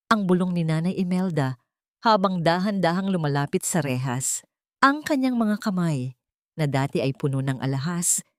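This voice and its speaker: A woman's voice: lowish voice, darkish tone, no room sound, studio quality. A woman reading a scripted dramatic first-person story as an audiobook narrator.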